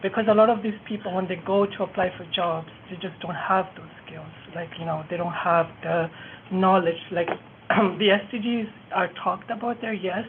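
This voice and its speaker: A woman's voice: on the low side, normal speed. A young woman speaks up from the floor of a roundtable with an impassioned question. The sound is thin and phone-like, with faint noise underneath. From Malawi. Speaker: youth participant asking a question.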